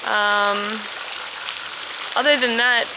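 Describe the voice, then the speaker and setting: A woman's voice: fairly high pitch, steady tone, extremely slow, background noise. A young American woman chatting casually and reflectively with her viewers, answering their questions. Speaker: vlogger, preschool English teacher.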